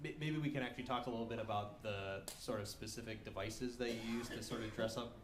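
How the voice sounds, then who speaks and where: A man's voice: mid-range voice, steady tone, quick pace. Man, trombonist, workshop talk, hesitant, thoughtful, stage mic. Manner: hesitant, many fillers, thoughtful. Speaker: trombonist in the band. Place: community center hall.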